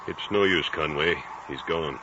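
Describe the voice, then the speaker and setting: A man's voice: extremely low pitch, measured pace, sharp tone, heavily acted. A man playing a folksy, wry, easygoing passenger in a 1950s radio drama, talking informally with dry humour. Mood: plain, alert. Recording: muffled noisy 1950 radio recording.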